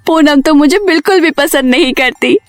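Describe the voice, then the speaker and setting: A woman voicing a meek, innocent young stepmother in a cartoon story, gentle and plaintive. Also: sits high, normal speed, no echo, close to an everyday voice. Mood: tearful, plaintive.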